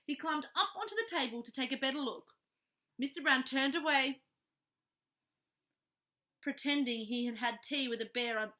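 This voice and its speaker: Bright, high-voiced woman reading to children. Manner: animated, addresses young listeners with questions. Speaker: storyteller reading aloud to young children. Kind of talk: expressive children's story reading.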